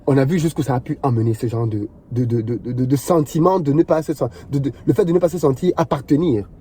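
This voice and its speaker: A man's voice: medium pitch, fast talker, mellow, a little hiss or hum. A man in a panel discussion who argues earnestly and insistently, often with rhetorical questions. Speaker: discussion participant.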